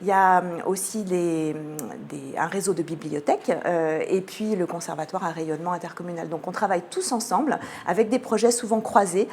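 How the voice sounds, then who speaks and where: A woman's voice: on the low side, fairly quick, hardly any pauses, a little mellow, slight background noise. A woman with an accent from France, explaining a cultural programme as a radio interview guest, articulate but often hesitating as she finds her words. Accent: French from France.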